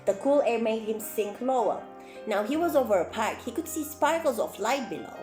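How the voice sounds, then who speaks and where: A woman reading a story aloud for children as a clown presenter, expressive and exclaiming, with chatty asides to her young listeners. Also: a little high, normal speed. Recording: roomy echo, music faintly behind.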